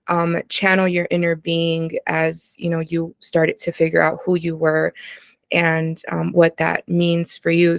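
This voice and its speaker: A woman hosting an interview, asking long, measured questions. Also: lowish voice, creaky, dry recording, clean studio sound. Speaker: host. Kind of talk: interview chat.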